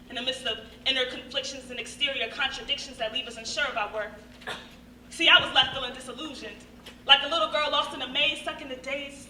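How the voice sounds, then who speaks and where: An echoey recording of a young American woman on stage, heard from far off. Her voice is high and very bright. She recites spoken-word verse earnestly, in dramatic rhythm with deliberate pauses. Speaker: monologue performer. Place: stage at a live show. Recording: echoey hall from far off, faint noise.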